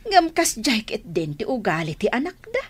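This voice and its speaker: High-voiced, scolding mother. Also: wildly swooping pitch, normal speed, husky, full character voice. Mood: insistent. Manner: scolding, emphatic. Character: insistent, disapproving mother pressing her son about love. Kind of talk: Ilocano radio drama acting.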